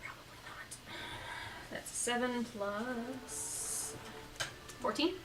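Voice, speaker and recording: A woman's voice: on the high side, very slow, over music. A woman playing a tabletop roleplaying game, chatting casually with sweary, sarcastic banter. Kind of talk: casual chat, game talk. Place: around a table indoors at a home game. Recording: roomy, distant mic, clear background noise.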